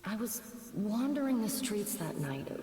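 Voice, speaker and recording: An actress playing a gentle, remorseful muse in a video game drama, speaking solemnly with breathy, half-whispered phrase endings. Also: female voice, medium pitch, sing-song, a little slow. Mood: somber, confiding. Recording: echoey reverberant game audio with hiss.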